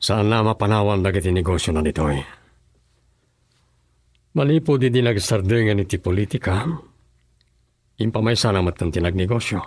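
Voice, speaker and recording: An actor playing a composed governor chatting with his wife in a measured way, in a radio drama. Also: on the low side, animated, no echo, studio quality. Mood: calm. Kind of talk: Ilocano radio drama acting.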